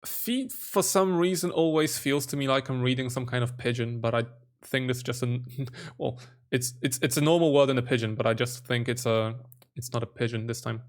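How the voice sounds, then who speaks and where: A man's voice: mid-range voice, moderate pace. A young man hosting his own channel, thinking aloud as he works through a language quiz and spelling out letters while he guesses.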